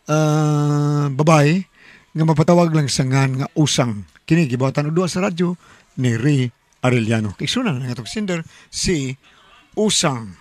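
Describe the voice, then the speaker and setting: A man's voice: a little high, moderate pace, a little mellow. A man presenting a radio drama programme in an informal, chatty way as its host.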